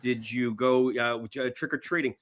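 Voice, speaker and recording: A man's voice: medium pitch, steady tone, normal speed. A man talking casually as a guest in a podcast interview, with a joking, self-deprecating manner. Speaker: actor and screenwriter.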